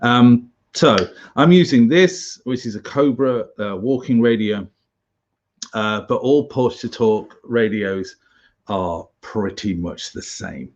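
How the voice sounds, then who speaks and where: A British man giving an informal tutorial as an instructor, halting and chatty with wry asides. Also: medium pitch, highs cut off. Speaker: survival instructor, presenter.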